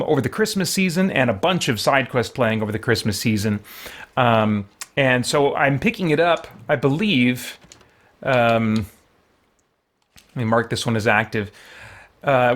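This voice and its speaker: A man's voice: mid-range voice, fairly bright tone. A man hosting a live game stream, chatting informally and thinking aloud as he goes. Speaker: live streamer, gaming channel host. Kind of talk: casual live game stream chat and recap.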